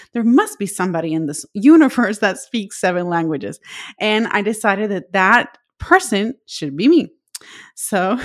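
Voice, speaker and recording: Puerto Rican woman hosting a podcast. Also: medium pitch. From Puerto Rico. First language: Spanish. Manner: reflective, storytelling with personal anecdotes. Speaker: podcast host. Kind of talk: solo podcast monologue.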